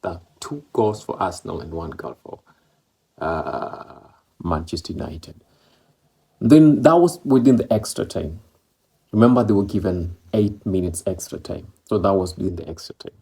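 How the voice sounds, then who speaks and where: A man's voice: low-pitched, lively intonation, normal speed, warm tone. A man from East Africa, speaking English as a second language, talks casually about a football match and rambles with many fillers and repeats. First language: Swahili or Maloko. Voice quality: gravelly, husky. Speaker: football vlogger.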